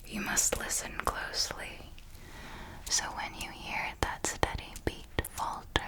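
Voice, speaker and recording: A woman playing a possessive, commanding vampire mentor in a whispered ASMR roleplay, speaking in a breathy, deliberate, coaxing way. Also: a little high, darkish tone. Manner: slow, deliberate, coaxing and instructive.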